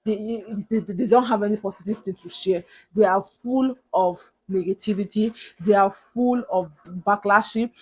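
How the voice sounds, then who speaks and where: Loud-voiced young Nigerian woman giving advice. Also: mid-range voice, moderate pace, breathy edge, low-quality recording. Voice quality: loud, big voice. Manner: earnest, emphatic, repeats points for stress. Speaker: vlogger. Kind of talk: motivational advice talk to camera.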